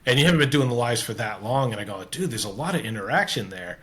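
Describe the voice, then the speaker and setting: A man with a Canadian accent speaks at a middle pitch. He hosts a casual interview chat, talking earnestly and restarting his sentences as his thoughts run on. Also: expressive, quick pace, fairly bright tone. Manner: rambling, restarts often, earnest. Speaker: podcast host.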